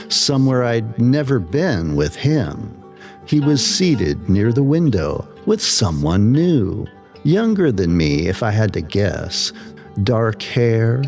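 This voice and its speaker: A man narrating a scripted first-person horror story in a measured, deliberate way, with dramatic pauses. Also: mid-range voice.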